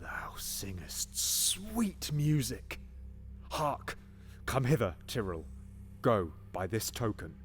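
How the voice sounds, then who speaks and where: A man's voice: mid-range voice, sing-song, slow, slight background noise. An actor playing a scheming, paranoid usurping king in a Shakespearean audio drama, swinging between menacing whispers and sudden outbursts.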